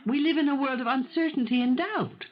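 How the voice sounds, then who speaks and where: An actress playing a composed, middle-aged housekeeper in a 1970s radio mystery, speaking formally and precisely with cool, reproving logic. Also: female voice, high voice, brisk, close to an everyday voice. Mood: firm reproof. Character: composed, firm, sensible housekeeper to a rich man. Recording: dull, noisy old radio broadcast tape.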